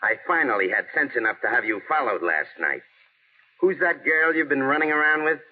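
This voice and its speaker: A man's voice: medium pitch, lively intonation, a little fast, natural voice. Actor as brusque, wisecracking big-city tough guy, old radio. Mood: scolding, sardonic. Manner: slangy, brusque. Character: blunt, cynical, wisecracking big-city man, hard-boiled and scolding. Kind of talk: 1930s-40s radio drama acting. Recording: muffled, lo-fi old radio recording.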